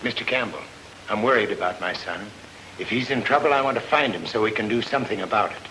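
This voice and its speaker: Formal, worried older gentleman, noisy recording. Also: lowish voice, fast. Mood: worried, courteous. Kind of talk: old-time radio drama acting. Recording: noisy old radio recording.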